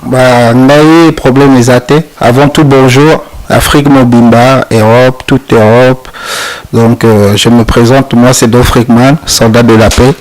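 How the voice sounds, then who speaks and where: A man's voice: mid-range voice, fairly even intonation, a little slow, low-quality recording. A man from Congo with a Congolese accent, a reggae musician answering interview questions hesitantly, with many fillers.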